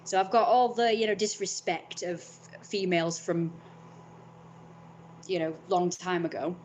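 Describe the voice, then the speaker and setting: A woman's voice: on the low side, steady tone, unhurried, slight background noise. Blunt, rambling young woman. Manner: frank, rambling, swears freely. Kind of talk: casual vlog monologue.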